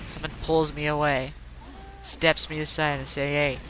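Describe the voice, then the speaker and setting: A woman's voice: low voice, little pitch movement, normal speed, background noise. A woman streaming a game in casual, rambling chatter, slightly stuttering and congested from allergies. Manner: rambling, heavy on fillers and restarts.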